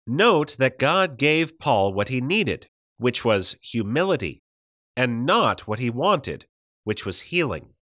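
A man reading a religious study audiobook as a scripted narration, measured and enunciated, with deliberate pauses. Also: medium pitch, fairly bright tone, no room sound, clean studio sound.

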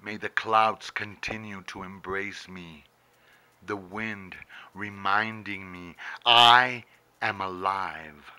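A middle-aged man performs spoken-word poetry in a low, very forceful voice. He goes extremely slowly, leaving long pauses between short phrases. His delivery is intense and theatrical, recorded up close in a room. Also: steady tone, clean tone. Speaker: poet reading own poem. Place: indoors, small book-lined room. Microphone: handheld camera very close to face.

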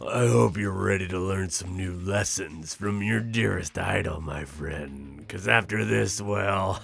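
A man playing an amused, flirtatious and menacing werewolf in an intimate roleplay audio drama, gravelly and husky, drawling and teasing. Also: low-pitched, measured pace, a bit bright, exaggerated voice. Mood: seductive, menacing. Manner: drawling, teasing, with breathy chuckles and hesitant asides.

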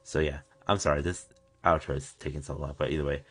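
A man in his twenties with a low-pitched voice hosts a casual reaction video from a small room at home. He talks off the cuff in a rambling, excited fan's way, with some mild swearing. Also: quick pace, soft background music. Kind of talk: casual unscripted reaction commentary.

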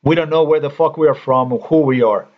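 Indignant man ranting, lo-fi. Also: a little high. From Slovenia. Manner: indignant, halting, swears. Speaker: commentator. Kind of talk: ranting screen-recorded commentary.

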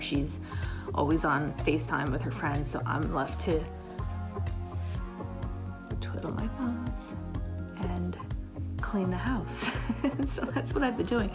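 A woman in her thirties talks loosely and chattily at her kitchen table, her voice low and a bit raspy. Plenty of fillers and restarts. Background music runs clearly under her voice. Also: slow pace. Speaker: vlogger, paramedic. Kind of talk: casual coffee chat to camera. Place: home kitchen, indoors.

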